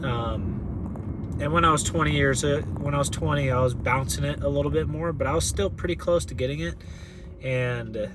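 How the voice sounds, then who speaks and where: A young man in his twenties chats to his camera outdoors, loosely and full of fillers and restarts. His voice sits a bit high for a man. Cars and street noise run clearly behind him. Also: moderate pace. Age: 20s. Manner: rambling, filler-heavy, with many "like"s and restarts. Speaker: fitness vlogger. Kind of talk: casual vlog talk to camera. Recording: noisy outdoor ambience with cars.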